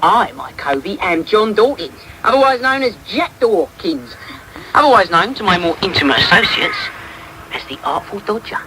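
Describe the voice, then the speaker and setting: Radio play: cheeky young Cockney-style London pickpocket boy, very animated pitch, swaggering slang, heavy static. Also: moderate pace. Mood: breezy, swaggering. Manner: slang-heavy, teasing patter. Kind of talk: radio drama acting. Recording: noisy medium-wave radio taped off air.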